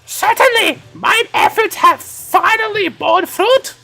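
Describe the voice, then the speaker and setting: Game role: bombastic female knight man acting, gremlin-like, shrill and extremely high, archaic pompous delivery. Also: slow pace, gravelly, bright, forceful tone, soft background music, full character voice. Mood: proud.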